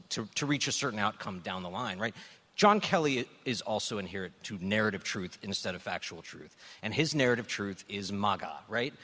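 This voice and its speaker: An American man giving analytical political commentary on a live panel, halting and repeating words as he explains. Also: lowish voice, bright, forceful tone. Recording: echoey hall, live stage show.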